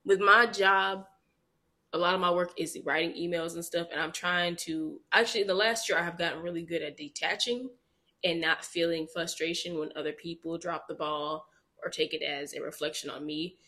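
A woman co-hosting a casual podcast, telling stories in animated, quick bursts with frequent laughter. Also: lowish voice. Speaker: podcast co-host. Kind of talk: casual chat.

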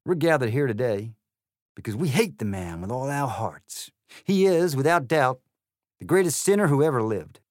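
A brash cowboy hare with a slow drawl, as a male reader puts him on. His voice climbs and swoops, lively and sure of itself. It sounds close and dry. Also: fairly low pitch, dry recording. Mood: fired up.

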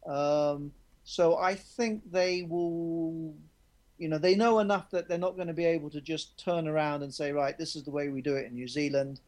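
Candid male rugby journalist, slightly high. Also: steady tone, moderate pace, a little mellow. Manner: candid, restarts sentences often. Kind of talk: conversational interview answers.

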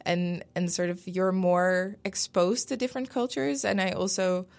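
A woman's voice: lowish voice, fairly even intonation, normal speed, gravelly. A young Dutch woman, native Dutch speaker, talking as a guest in a casual interview, thoughtful and full of hedges. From Netherlands. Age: young adult. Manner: thoughtful, many fillers and hedges.

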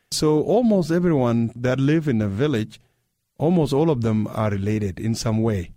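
Male guest from South Sudan, unhurried storyteller, mid-pitched, dry studio sound. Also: dark tone, no room sound. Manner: unhurried, plain, repeats words for emphasis. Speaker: guest, human rights activist. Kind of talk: radio interview, recalling childhood. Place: radio studio.

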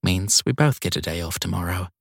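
A man voicing a caring, insistent wife with a gently chiding, concerned manner in an audiobook. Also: low-pitched, a little fast, gravelly, slightly rough, no room sound, clean studio sound, heavily acted. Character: caring, insistent wife on a late-night call. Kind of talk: audiobook character dialogue.

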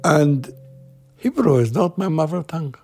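An older man from Iraq, speaking slowly and haltingly about his life in an interview. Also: on the high side, animated, dark tone, slightly rough, soft background music. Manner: slow, halting, plain-spoken. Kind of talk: reflective interview.

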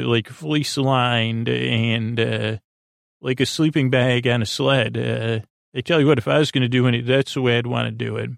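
Male bedtime podcast host: creaky, mid-pitched, drowsy and meandering, soothing delivery. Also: no room sound, studio quality. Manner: meandering, hesitant, stop-start; soothing, sleepy. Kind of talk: rambling bedtime podcast monologue.

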